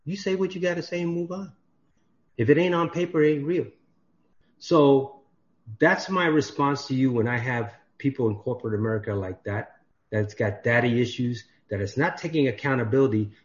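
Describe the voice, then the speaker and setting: A man on a podcast, chatting casually and acting out the dialogue in his stories. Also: mid-range voice. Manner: animated storyteller, acts out dialogue. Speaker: podcast guest. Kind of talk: casual chat. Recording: dull lo-fi audio.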